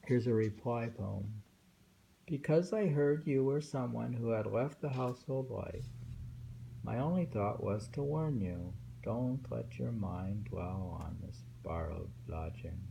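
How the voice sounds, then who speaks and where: A man reading poetry aloud informally and commenting on it in a halting, musing way, pausing often. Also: mid-range voice, gravelly. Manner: halting, musing, with frequent pauses. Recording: faint background noise, home recording.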